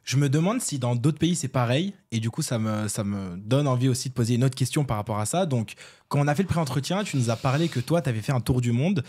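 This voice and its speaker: Husky-voiced casual male interviewer. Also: medium pitch, animated, quick pace, a little mellow.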